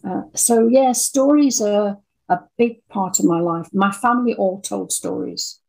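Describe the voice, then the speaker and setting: An older woman with an English accent speaks as a guest in an interview. Her voice is a little husky and sits at a medium pitch. She talks in a conversational storytelling way. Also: unhurried, a little mellow, low-quality recording. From Birmingham, English Midlands. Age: 60s or older. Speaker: interview guest, audio drama writer.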